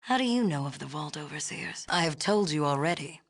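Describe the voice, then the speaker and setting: A woman's voice: deep voice, animated, brisk. A woman playing a haughty, imperious leader of a city in a video game, speaking formally and precisely with a condescending manner. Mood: formal, wary. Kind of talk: video game character dialogue.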